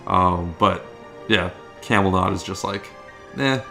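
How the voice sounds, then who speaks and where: A man chats as co-host of a gaming video, informal and agreeable. His voice is fairly low with a strong gravelly creak. Light music plays behind him. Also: takes it slowly, pushed and bright. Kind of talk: casual chat commentary on game cutscenes.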